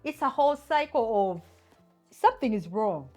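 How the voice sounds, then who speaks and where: A woman's voice: a little high, animated, moderate pace, darkish tone, soft background music. Woman asking rhetorical questions. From Uganda. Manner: emphatic, full of rhetorical questions. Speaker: channel host. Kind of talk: opinion talk to camera.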